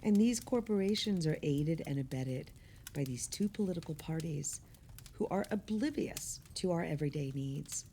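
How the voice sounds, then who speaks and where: A woman's voice: sits low, lively intonation, normal speed, mellow, slight background noise. An actress playing an earnest, exasperated woman with wry opinions, speaking emphatically in conversational radio play dialogue.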